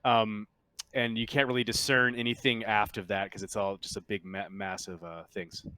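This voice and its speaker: A man with a fairly low, bright and forward voice narrates a tabletop roleplaying game as its game master. He improvises in a conversational, halting way. The sound is very dry, with hardly any room to it. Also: little pitch movement, clear, resonant tone, no echo.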